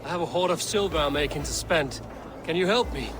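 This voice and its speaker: An actor playing a bold, wily Viking raider in a video game, confident and coaxing. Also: male voice, on the high side, fairly quick, noisy background, natural voice. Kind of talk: video game dialogue acting.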